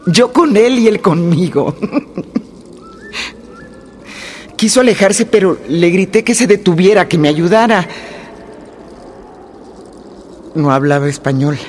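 An actor as a grave, high-voiced guerrilla fighter. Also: male voice, slight background noise, natural voice. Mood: anxious. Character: wounded young male guerrilla fighter recounting an ambush and escape. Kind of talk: dramatic first-person narration in a radio play. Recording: radio drama with music underneath.

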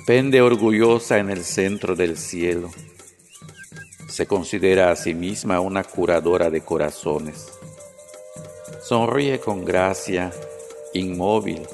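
A man from Yucatán whose first language is Yucatec Maya, reading his poems in a calm, measured way and introducing himself. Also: low-pitched. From Yucatán, Mexico. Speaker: poet and teacher. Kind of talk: slow poetry reading.

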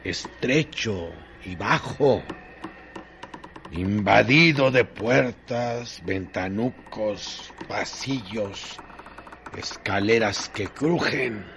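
A man narrating a radio drama from a literary script, with deliberate, dramatic phrasing and pauses. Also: fairly low pitch, fairly bright tone. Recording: noisy recording with clear background noise.

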